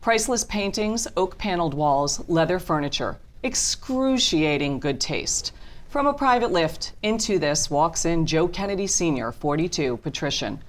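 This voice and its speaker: A woman serves as narrator for a script reading. Her voice sits a little low for a woman as she reads out scene and action descriptions. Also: lively intonation, moderate pace. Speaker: narrator reading stage directions.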